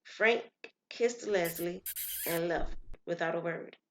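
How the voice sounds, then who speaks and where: A woman narrating a scripted story as storyteller, in a measured, expressive way. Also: on the low side, a little hiss or hum. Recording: slightly muffled, lo-fi.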